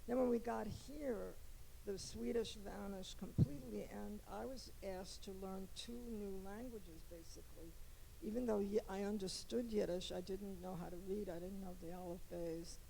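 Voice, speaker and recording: An older Polish-born woman, speaking in a reflective, halting way with frequent restarts. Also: mid-range voice, gravelly, dark tone, slight background noise, poor audio. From Poland. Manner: halting, many restarts and asides. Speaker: poet, interviewee. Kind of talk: reflective conversation.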